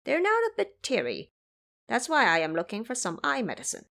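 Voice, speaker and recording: A woman's voice: a little high, wildly swooping pitch, normal speed, creaky, sharp tone, full character voice. A woman voicing a bold male monkey disciple with polite but boastful speech in an audio drama. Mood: explaining.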